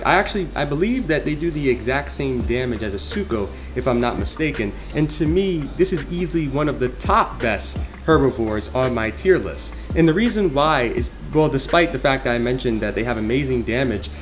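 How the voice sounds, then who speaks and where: Emphatic man with music behind him. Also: mid-range voice. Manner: emphatic, conversational. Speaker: video game reviewer. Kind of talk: opinionated tier list commentary.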